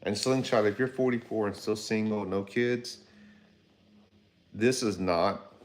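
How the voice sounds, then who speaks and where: Deep-voiced rambling man in his fifties. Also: measured pace. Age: 50s. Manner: rambling, conversational, addresses viewers by name. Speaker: livestream host. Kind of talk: casual livestream chat reading viewer comments.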